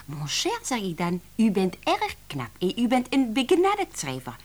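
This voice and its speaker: High-voiced, excitable young Frenchwoman. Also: normal speed. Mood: coaxing, chatty. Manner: effusive, peppers speech with French phrases. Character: excitable young Frenchwoman, devoted office cook and assistant. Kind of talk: Dutch radio play acting.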